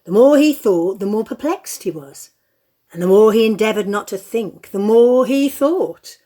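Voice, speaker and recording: A woman narrating a story in an expressive, measured way, as the narrator of an audio play. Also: medium pitch.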